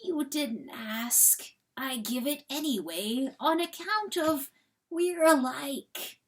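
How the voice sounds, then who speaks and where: A woman voicing a small, wounded male coyote in an animal fable, calm and forgiving, speaking softly and earnestly. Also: high-pitched, pitched above the performer's own voice, exaggerated voice. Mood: tender, forgiving. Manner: soft, slow, earnest whispering.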